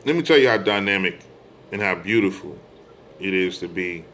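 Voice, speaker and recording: Low-voiced American man preaching informally. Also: lively intonation, moderate pace, fairly bright tone, slight background noise. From United States. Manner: emphatic, repeats phrases, poses rhetorical questions. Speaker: religious speaker addressing online followers.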